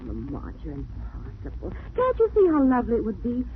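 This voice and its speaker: An actress playing a fussy, determined young society lady in a 1940s radio drama, with a crisp, chiding manner. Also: fairly high pitch, huge pitch swings, fairly quick. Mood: fussy, exasperated. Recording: tinny, noisy vintage radio recording.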